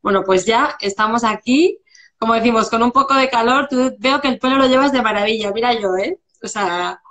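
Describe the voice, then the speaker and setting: A woman's voice: on the high side, a little fast, a bit bright. A woman in her forties with a Spanish accent, hosting a live video-call interview from home, chatty with many restarts. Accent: Spanish from Spain. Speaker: live stream host. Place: home room, indoors. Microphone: video call webcam.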